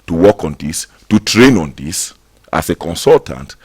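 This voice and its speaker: A man with a very low voice speaks as a guest on a television talk show, promoting his institution with emphatic, halting sentences. The studio sound is dry and close. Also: very animated, darkish tone, husky, dry recording. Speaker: university representative guest. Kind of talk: TV interview.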